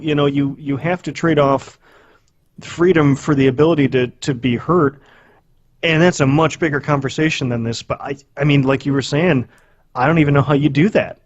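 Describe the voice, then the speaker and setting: A man's voice: on the high side, steady tone, moderate pace, no room sound, lo-fi sound. A man co-hosting a podcast in a casual, informal way, swearing freely. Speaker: podcast co-host.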